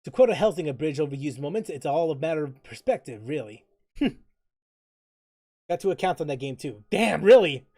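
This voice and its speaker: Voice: medium pitch, brisk, husky, dry recording, clean studio sound. A gaming streamer chatting casually and joking, with mild swearing, while reading chat and commenting on play. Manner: animated, joking, mildly profane, reads chat aloud. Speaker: gaming livestreamer. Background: background music.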